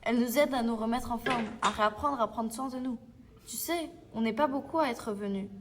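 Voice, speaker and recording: A female performer playing a gentle, reassuring sixteen-year-old survivor in a French audio drama. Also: on the high side, brisk, noisy background, lightly acted. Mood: hesitant, gentle.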